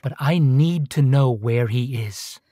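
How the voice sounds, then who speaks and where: Dogged detective, dry close sound. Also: male voice, mid-range voice, slow pace, dark tone, dry recording. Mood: earnest, grave. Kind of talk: dramatic radio play acting.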